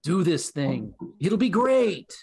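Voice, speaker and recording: A man's voice: sits high, very animated, slow pace, vocal fry, darkish tone, slightly rough. A middle-aged American man from Kansas City telling stories casually as an interview guest. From Kansas City, United States. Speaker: guest, writer and content strategist. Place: indoor room on a video call.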